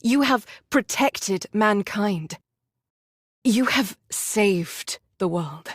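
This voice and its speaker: Tender, unhurried young woman soldier. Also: medium pitch, expressive, husky, no echo, clean studio sound, full character voice. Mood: solemn, tender. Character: gentle, devout young woman soldier, sorrowful and earnest. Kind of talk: dramatic game voice acting.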